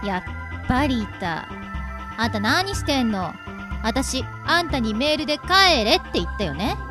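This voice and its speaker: Radio drama older sister, woman acting: high, swooping delivery, bossy, teasing, caring underneath. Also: big pitch swings, over music. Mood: brisk, scolding. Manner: brisk, teasing, blunt with her brother.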